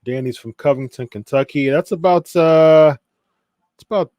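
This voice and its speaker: A man's voice: on the high side, normal speed, dark tone, no room sound, studio quality. Chatty Midwestern man hosting a stream. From Ohio, USA. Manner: reads viewer comments aloud, chatty with fillers. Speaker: watch party host. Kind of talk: casual live-stream chat.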